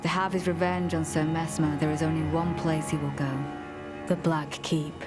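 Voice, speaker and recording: An actress playing a devoted, suspicious female knight in a fantasy game, speaking formally and with measured, archaic phrasing and quiet menace. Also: deep voice, little pitch movement, loud music behind the voice, natural voice. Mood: grave resolve. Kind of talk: dramatic fantasy game dialogue.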